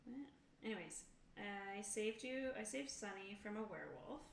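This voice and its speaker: A woman of medium pitch chats casually as she builds a tabletop game character. She sounds set back from the mic in an echoey room, with some noise around her. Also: animated, unhurried, gravelly. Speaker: player in a tabletop roleplaying game. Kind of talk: casual table talk while creating a character. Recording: roomy echo, distant mic, noisy background.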